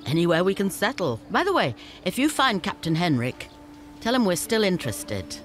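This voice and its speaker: An actress playing a shrewd female scavenger leader in a fantasy game, brisk and businesslike with a teasing edge. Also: on the low side, very animated. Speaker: game character. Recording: game audio with faint music.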